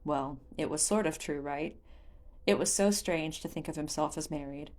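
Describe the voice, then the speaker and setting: Female audiobook narrator, slightly low register, for scripted fantasy storytelling. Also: moderate pace, a little mellow.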